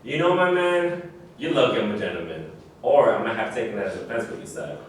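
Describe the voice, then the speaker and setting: A man's voice: mid-range voice, animated, a little fast, natural voice. A man acting in a live staged reading as a proud, boastful former star athlete, speaking emphatically. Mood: defensive. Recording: very echoey distant room recording, faint noise.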